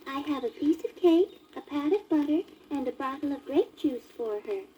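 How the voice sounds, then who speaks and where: A man voicing a sweet, obedient little girl in a high piping falsetto on an old children's story record. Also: animated, normal speed, mellow, a little hiss or hum, rough recording. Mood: polite.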